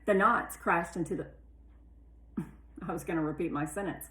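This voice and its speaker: A woman reading a children's novel aloud with animated, deliberate storytelling in a roomy recording. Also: fairly low pitch. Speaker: read-aloud narrator. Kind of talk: expressive children's audiobook narration. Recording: roomy, echoey sound.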